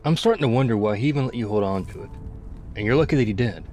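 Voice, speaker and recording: An actor playing a tough, weary, loyal zombie apocalypse survivor in an intimate ASMR roleplay, speaking conversationally. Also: male voice, medium pitch, lively intonation, racing, warm tone, soft background music, close to an everyday voice. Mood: urgent.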